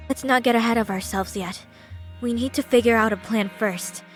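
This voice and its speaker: An actress playing a determined, devoted young nun on the run in a dramatic visual novel, earnest and resolute, with music underneath. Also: female voice, fairly high pitch, normal speed, natural voice. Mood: calm, planning.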